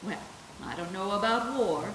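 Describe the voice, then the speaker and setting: A woman's voice: mid-range voice, normal speed, fairly bright tone, lightly acted. A woman playing a nosy, bossy older mother in a live radio play on a theatre stage. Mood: insistent, motherly. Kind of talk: live 1940s-style radio play acting. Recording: roomy stage recording with faint hiss.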